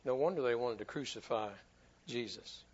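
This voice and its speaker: A man's voice: medium pitch, moderate pace, darkish tone, slightly rough, dull audio. A man preaching a sermon to his church in a folksy, repetitive way, often stumbling over his words. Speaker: pastor.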